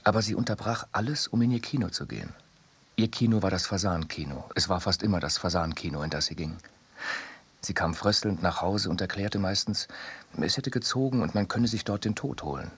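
Sober radio-drama narrator: very low, raspy-edged, composed and precise literary storytelling. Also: male voice, brisk, full character voice. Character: sober second narrator recalling family and films.

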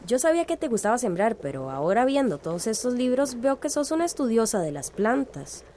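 An actress playing a polite, earnest community organizer in a radio drama, with a Central American accent. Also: female voice, a little high, very animated, brisk, dark tone. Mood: friendly. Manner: courteous. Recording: faint background noise.

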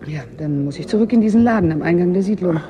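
An actress playing an earnest, gently ironic climatology student in a radio drama, speaking softly and reflectively. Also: female voice, on the low side, lively intonation, fast talker, a little hiss or hum, natural voice. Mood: eager, flustered. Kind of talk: German radio drama acting, naturalistic dialogue.

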